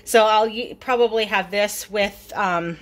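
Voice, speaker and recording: A woman's voice: medium pitch, unhurried. A middle-aged woman chatting casually with many ums while she shows off her groceries in her home kitchen, filming with a phone. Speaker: vlogger showing a grocery haul. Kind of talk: casual chat over a grocery haul.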